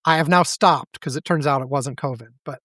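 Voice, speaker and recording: A man co-hosting a casual podcast chat, speaking with a scratchy throat and stuffy head, rambling and halting. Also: fairly high pitch, fairly bright tone, no room sound, studio quality. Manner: rambling, halting, self-deprecating. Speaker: podcast co-host.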